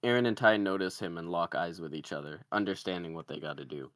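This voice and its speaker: Male screenplay narrator, very low register, dry sound, scripted comedy directions. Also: fast talker, a bit bright, no echo.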